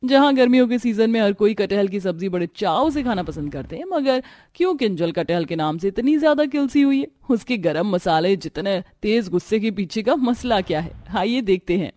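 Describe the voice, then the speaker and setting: A woman's voice: mid-range voice, animated, moderate pace, few pauses, warm tone, dry recording, studio quality. A woman reading scripted narration for a cartoon family story. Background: music.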